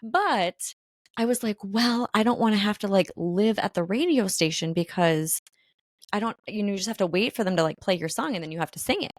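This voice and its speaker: A woman's voice: mid-range voice, animated, brisk, no room sound, studio quality. A woman from the Midwest hosting a casual podcast, laughing often as she talks. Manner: giggly, laughs often between lines. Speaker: podcast host.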